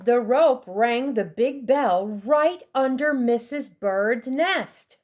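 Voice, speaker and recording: High-voiced woman reading slowly to children. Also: animated, darkish tone. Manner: deliberate, dramatic emphasis for young listeners. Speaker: storybook reader. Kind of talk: expressive children's read-aloud narration.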